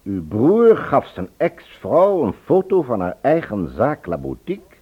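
An actor playing an insistent, genial husband in a radio play. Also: male voice, medium pitch, wildly swooping pitch, measured pace, slightly rough, heavily acted. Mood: pressing, skeptical. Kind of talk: Dutch radio drama acting. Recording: muffled old radio play recording.

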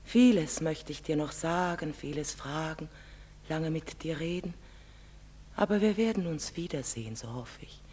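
An actress playing a proud, sorrowful middle-aged foreign mother in a stage drama, speaking in a solemn, measured and emphatic theatrical manner. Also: deep voice, expressive, darkish tone, a little hiss or hum. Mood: wistful, tender. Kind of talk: German stage-drama acting. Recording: long reverberant hall sound.

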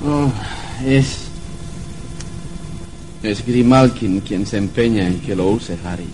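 An actor playing an evasive, aloof husband with a halting, guarded delivery in an old radio horror drama. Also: male voice, fairly low pitch, noisy background.

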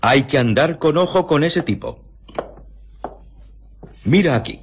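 An actor playing a slow, blustering, henpecked village husband in a radio drama, husky, hesitant and puzzled, with an accent from Spain. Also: male voice, a little high, animated, slight background noise. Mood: blustering, backing down. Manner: hesitant, puzzled, would-be bossy.

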